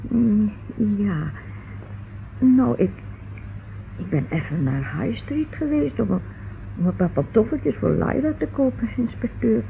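An actress playing an anxious, drowsy older housewife in a radio play, speaking haltingly and repeating words. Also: female voice, fairly high pitch, lively intonation, gravelly. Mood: dazed, halting. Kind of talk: old radio play acting. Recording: noisy, muffled phone-like old radio recording.

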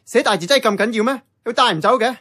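A man's voice: extremely high pitch, fast talker, fairly bright tone. An actor with a Hong Kong Cantonese accent playing a casual, blunt young man in radio drama dialogue. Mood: blunt, exasperated.

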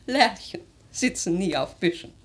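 A woman playing an aristocratic old grandmother in a German radio drama, speaking in a theatrical, measured, lyrical way, worldly and imperious. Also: mid-range voice, expressive, breathy edge. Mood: brisk, chiding. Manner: theatrical, measured, lyrical, with emphatic swells and hushed phrases.

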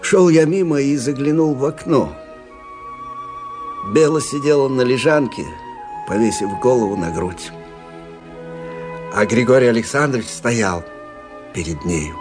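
A man's voice: medium pitch, animated, unhurried, pauses often, over music, close to an everyday voice. An actor playing an older army captain who recounts his memories conversationally in a Russian radio drama. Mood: wistful reminiscing. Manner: conversational, reminiscing storytelling.

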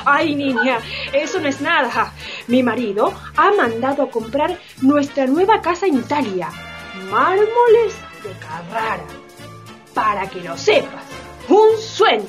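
A woman's voice: high voice, sing-song, unhurried, soft background music. An actress playing a boastful, affected aristocratic lady in a satirical radio drama. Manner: affected, bragging.

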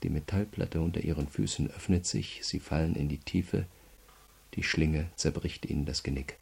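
Deep, gravelly, relentless prosecutor. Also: male voice, darkish tone, exaggerated voice. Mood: cold, menacing. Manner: measured, methodical, coldly polite. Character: cool, relentless male state prosecutor pressing a prisoner. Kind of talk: German radio crime drama acting.

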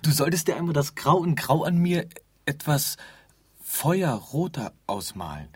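Nothingness as a naive impish sidekick in a radio play, husky, dry close sound, very lively pitch. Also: male voice, a little slow, dry recording, full character voice. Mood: earnest, sly. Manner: naive, teasing, matter-of-fact. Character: Nothingness personified, a simple, impish, unflappable male companion. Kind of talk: theatrical German radio play acting.

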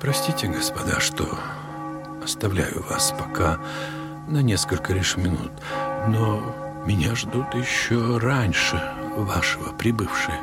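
A slow, gravelly old monastery elder. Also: male voice, lowish voice, animated, loud music behind the voice. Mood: gentle, courteous. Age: older. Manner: measured, soft, consoling. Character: gentle, ailing old monastery elder, wise and humble. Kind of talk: Russian audio drama acting.

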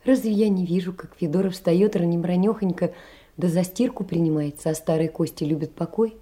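An actress plays a sickly, poor young woman in a stage drama. Her voice is very dark and soft-edged, and she speaks in an earnest, plaintive way, blaming herself and aching with sorrow. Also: on the low side, moderate pace, lightly acted. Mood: anguished, pleading. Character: sickly, anguished, self-reproaching poor young woman writing letters. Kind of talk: theatrical monologue, letters read as drama.

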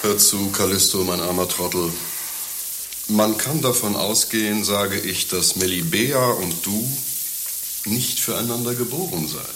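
Low, sardonic scheming servant, acted by a man. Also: unhurried, natural voice. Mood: mocking lecture. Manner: sardonic, pedantic, lecturing. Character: mocking, mercenary servant, scheming, crude, condescending friend. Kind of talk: satirical German radio play acting. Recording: roomy, with faint hiss.

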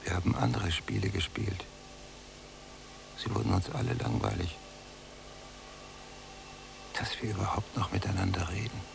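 An actor playing a brooding, embittered prisoner in a German radio drama, with a gravelly voice and a sardonic, often hushed delivery. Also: male voice, lowish voice, fairly even intonation, normal speed, pauses often, noisy background. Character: brooding, embittered male prisoner, younger cellmate. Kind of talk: German radio drama acting, literary monologue.

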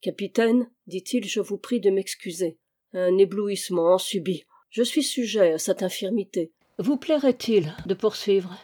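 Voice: mid-range voice, measured pace, mellow, natural voice. A reader voicing a reserved, courteous male nobleman with restrained delivery, in an audiobook reading.

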